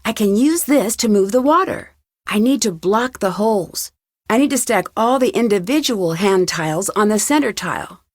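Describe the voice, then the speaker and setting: An actress plays a young woman detective from an adventure game, recorded very dry and close. She talks to herself in clear, practical, curious remarks, with a medium pitch and steady determination. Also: normal speed, no room sound, lightly acted. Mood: focused, puzzling. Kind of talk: scripted adventure game dialogue, thinking aloud.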